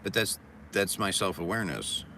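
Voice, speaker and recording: Very deep-voiced man, rambling and sarcastic. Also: lively intonation, moderate pace. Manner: rambling, sarcastic, reading chat comments aloud. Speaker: vlogger. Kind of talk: casual monologue. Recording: distant mic with loud background noise.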